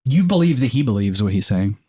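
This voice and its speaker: A man's voice: on the low side, sing-song, a little fast, warm tone, husky, dry recording, clean studio sound. A man working as game master, improvising descriptions in an informal, conversational way. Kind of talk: improvised tabletop game narration.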